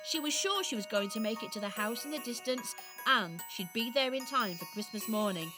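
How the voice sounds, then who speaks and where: A woman's voice: fairly high pitch, expressive, brisk, over music, natural voice. A woman narrator reading a scripted children's story for a radio play.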